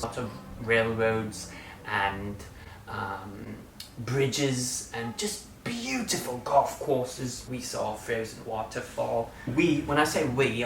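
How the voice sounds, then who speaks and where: A man talks to his channel in a casual, playful update and hams it up, swinging from loud bursts to quiet asides. He sits some way off the microphone, so the voice comes through distant. The room adds an echo, with a faint hum of room noise underneath. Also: mid-range voice. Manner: playful, theatrical, jumps between loud and quiet; slips into a put-on British-style accent at times. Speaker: channel host.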